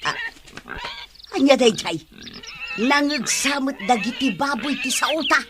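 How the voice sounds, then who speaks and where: A woman's voice: high voice, measured pace, noisy background. An actress with an Ilocano Filipino accent playing a hesitant village woman in a comedy radio drama. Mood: animated.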